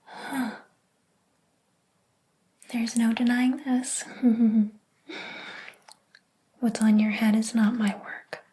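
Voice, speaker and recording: A woman voicing a jealous, possessive hairdresser in an ASMR roleplay, whispering breathily in a slow, theatrical, wounded and haughty manner. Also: a little high, little pitch movement, mellow, slightly rough, full character voice. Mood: disdainful, indignant. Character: jealous, possessive hairdresser, obsessed with favorite client.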